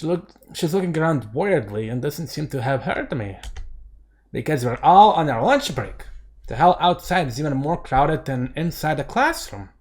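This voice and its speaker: A man reading a visual novel aloud as a let's play host, mixing narration with casual, excitable commentary. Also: on the high side, normal speed. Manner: excitable outbursts between narrated lines. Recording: game music behind the voice. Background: game background music.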